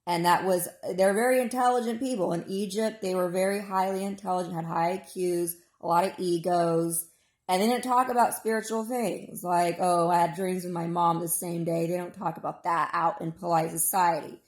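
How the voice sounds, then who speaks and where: A woman in her mid-thirties speaks in a hoarse, lowish voice. She delivers a digressive, opinionated personal monologue in an informal way. The recording is noticeably echoey. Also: fairly even intonation, normal speed. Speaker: vlogger.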